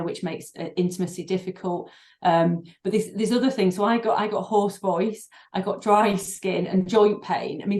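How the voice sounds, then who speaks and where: A middle-aged woman, a doctor and breathing therapist, speaking in a podcast interview, thoughtful and halting with many fillers and restarts. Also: mid-range voice, darkish tone. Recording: echoey room.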